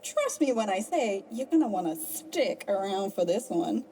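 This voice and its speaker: A woman's voice: medium pitch, big pitch swings, normal speed, mellow, a little hiss or hum, close to an everyday voice. A woman playing a gloating, theatrical rabbit trickster villainess in an audio drama, mocking and hammy like a stage host. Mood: showboating, gleeful. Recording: music under the voice.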